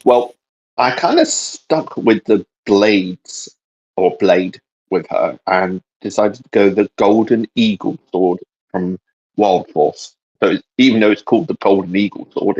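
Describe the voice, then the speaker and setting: A man's voice: on the low side, darkish tone, husky, lo-fi sound. A man co-hosting a casual, unscripted chat about geeky fan topics. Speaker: co-host of a fan discussion show.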